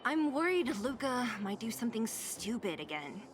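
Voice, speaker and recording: A woman's voice: a little high, moderate pace. A woman voicing a blunt, restless young woman in a game, talking in a weary, grumbling way. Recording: game audio with steady background noise.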